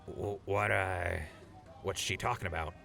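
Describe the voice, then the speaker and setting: Gravelly, low, awkward wasteland buddy played by a man. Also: fairly even intonation, unhurried, over music. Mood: nervous, hesitant. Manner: hesitant, awkward. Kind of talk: audio drama acting.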